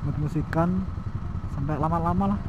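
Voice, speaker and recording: High-pitched young man over loud traffic. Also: normal speed, highs cut off. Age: young adult. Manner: slangy, raises voice over traffic. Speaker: motovlogger. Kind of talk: casual riding vlog chat. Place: outdoors, riding a motorbike on city streets. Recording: loud motorcycle engine and traffic noise.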